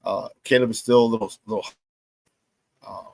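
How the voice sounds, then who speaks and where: Brief: middle-aged male sports talk host, hoarse, dry close mic, animated and repetitive. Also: on the low side, normal speed, no room sound. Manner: animated storyteller who repeats phrases and laughs at his own stories. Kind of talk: casual sports talk monologue.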